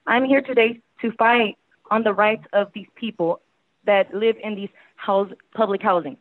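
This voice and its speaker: A woman's voice: mid-range voice, steady tone, moderate pace. Impassioned woman on a tinny phone line. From Houston, Texas. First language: Spanish. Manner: urgent, pressing rhetorical questions. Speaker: public commenter. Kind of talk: impassioned public comment. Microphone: phone call.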